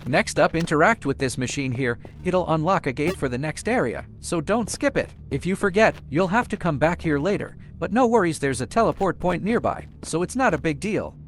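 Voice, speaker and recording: A man's voice: fairly high pitch, expressive, a little fast, few pauses, a little hiss or hum. A man giving a chatty, informal, playfully boastful video game walkthrough commentary, talking casually to viewers.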